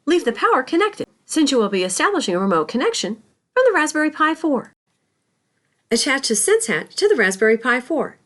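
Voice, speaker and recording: A woman narrates a scripted lesson as an instructor. Her voice sits fairly high and moves up and down in a lively way. She speaks carefully, explaining step by step. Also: a little fast.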